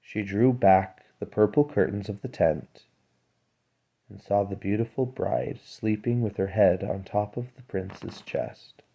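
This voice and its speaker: A man reading a fairy tale aloud as a storyteller, in a measured, dramatic way. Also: fairly low pitch, gravelly, dark tone, breathy edge, muffled recording.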